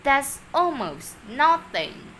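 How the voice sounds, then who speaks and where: A woman voicing a sweet, doting storybook lady in a gushing, drawn-out, emphatic way. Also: high-pitched, sing-song, bright, forceful tone, a little hiss or hum, pitched above the performer's own voice, exaggerated voice. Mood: fond, wistful. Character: sweet, gentle, doting woman who adores her tortoise.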